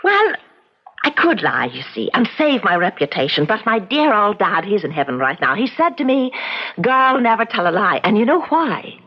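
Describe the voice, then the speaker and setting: An actress playing a chatty, coy, mock-innocent flirt in a radio drama, rambling on in folksy speech. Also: female voice, medium pitch, big pitch swings, moderate pace, breathy edge. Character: chatty, coy, guileless tavern flirt of loose reputation. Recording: dull, lo-fi radio drama recording.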